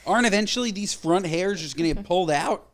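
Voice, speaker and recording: A man's voice: high voice, animated. A man co-hosting a podcast, chatting casually and emphatically, swearing freely. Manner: emphatic, swears casually, talks in quick bursts. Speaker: podcast co-host. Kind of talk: casual chat.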